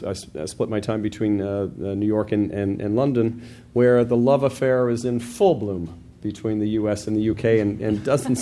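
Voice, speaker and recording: A man giving opening remarks at a business forum, halting and repeating words. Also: fairly low pitch, mellow, slight background noise. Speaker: opening speaker. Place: conference hall.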